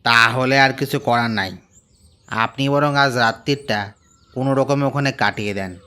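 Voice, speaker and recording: A man voicing a suspicious middle-aged village tea-stall keeper who talks in low, hushed tones. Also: moderate pace, smooth, lightly acted. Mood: wary.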